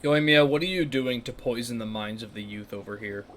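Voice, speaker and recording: A woman giving casual playthrough commentary on a game, with wry, deadpan asides. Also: extremely low pitch, a little fast, a crowd in the background. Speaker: gaming video creator.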